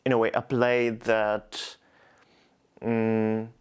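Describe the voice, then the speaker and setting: Slow, halting man with Czech accent. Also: fairly low pitch, monotone, clean tone. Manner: hesitant, many restarts and repeated words. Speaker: poet, guest. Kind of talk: reflective interview answers.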